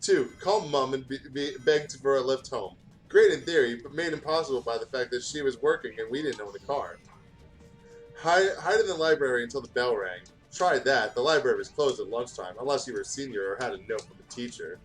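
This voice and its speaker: A man with a mid-pitched voice reads a story aloud like an informal online host. His delivery is jokey and conversational, and music plays faintly behind him. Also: lively intonation, a little fast, low-quality recording. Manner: informal, sweary, breaks off to riff. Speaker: gaming channel host reading fan fiction aloud. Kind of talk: jokey read-aloud with casual chat.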